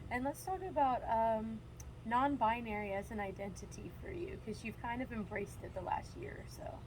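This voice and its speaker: A woman hosts a relaxed interview, chatting with affirming, easy laughter. Faint background noise sits under her voice. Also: fairly high pitch, normal speed, rough recording. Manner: warm-hearted, laughs often, affirming. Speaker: interviewer, channel host. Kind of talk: casual interview chat.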